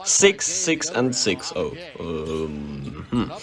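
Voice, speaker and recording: A man's voice: low voice, huge pitch swings, very slow. A young man from the Czech Republic with a Czech accent, giving a casual, rambling basketball recap, enthusiastic and often correcting himself on names. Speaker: basketball vlogger. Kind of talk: casual unscripted sports recap.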